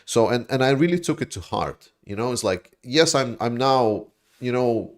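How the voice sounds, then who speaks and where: A man from Latvia, hosting a casual livestream and reading viewer chat, rambling and animated, with frequent swearing. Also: mid-range voice, normal speed. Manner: rambling, animated, swears, mocks opponents in put-on voices.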